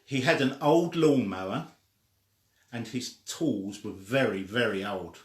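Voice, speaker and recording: A man's voice: medium pitch, lively intonation, a little slow. A man reading a children's story aloud in an informal, homespun way. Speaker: story reader. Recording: echoey room.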